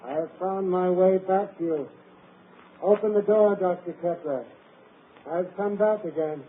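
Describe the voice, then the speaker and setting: A man's voice: high-pitched, unhurried, husky. An actor playing a desperate young man in a 1940s radio drama, urgent and intense. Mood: eerie hushed. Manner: urgent, later eerie hushed menace. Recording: tinny old radio broadcast with hiss.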